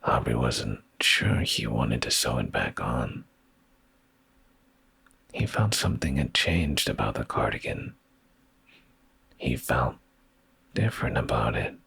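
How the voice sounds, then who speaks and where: Very deep, breathy man whispering slowly. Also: very animated. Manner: soothing, intimate, hushed. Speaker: ASMR streamer reading bedtime stories. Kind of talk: slow whispery ASMR bedtime storytelling.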